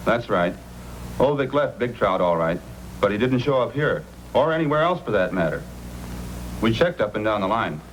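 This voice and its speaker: A man's voice: lowish voice, lively intonation, normal speed, close to an everyday voice, low-quality recording. A man playing a helpful, welcoming frontier police officer in an old-time radio drama, friendly and earnest. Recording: old radio recording, faint noise.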